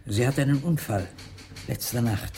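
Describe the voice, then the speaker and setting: An actor playing a restrained railway boss breaking bad news in a radio drama. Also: male voice, medium pitch, measured pace, vocal fry, dark tone, slight background noise. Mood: grave, restrained.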